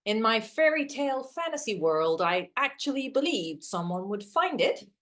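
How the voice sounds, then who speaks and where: An actress playing an awkward, literal-minded young woman in a play reading, a hopeless romantic with a hesitant, wry deadpan manner. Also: fairly high pitch, normal speed. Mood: wistful, self-mocking. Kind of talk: two-hander stage play reading.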